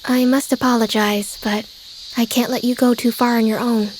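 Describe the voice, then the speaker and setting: A woman playing a polite, detached young observer in a manga dub, formal and deadpan. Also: a little high, moderate pace, slight background noise, lightly acted, rough recording. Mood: polite, composed.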